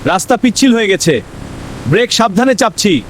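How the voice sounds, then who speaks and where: A man's voice: high-pitched, very animated, a little fast, very noisy. A man playing a devout, caring bus driver in an Islamic cartoon story, speaking in earnest, pious exhortations. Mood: panicked pleading. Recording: background music under the voice.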